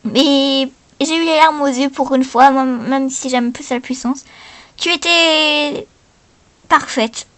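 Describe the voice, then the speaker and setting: A woman voicing gushing female talent-show characters in an amateur doll play, improvising with hesitant, repetitive, halting phrasing. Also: high-pitched, fairly even intonation, a bit bright. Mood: moved, admiring.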